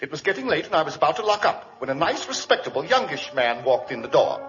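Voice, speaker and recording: Flustered British shopkeeper, high and swooping, proper and fussy, for an old muffled radio play. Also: male voice, big pitch swings, fast talker, fairly bright tone, soft background music. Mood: polite, obliging. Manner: fussy, genteel, exclamatory. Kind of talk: 1940s radio acting.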